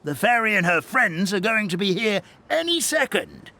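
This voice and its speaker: High-pitched, animated grandpa critter. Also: male voice, normal speed, exaggerated voice. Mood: scolding, teasing. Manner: scolding, chuckling, expressive. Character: elderly origami forest animal, fussy grandfatherly villager. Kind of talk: animated game voice acting. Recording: music under the voice.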